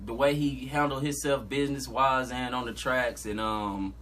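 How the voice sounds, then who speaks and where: A man's voice: medium pitch, steady tone, normal speed, a bit bright, a little hiss or hum. A young man from Georgia, a rapper talking casually and laid-back in a podcast interview. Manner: laid-back, talks in slang. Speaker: guest rapper.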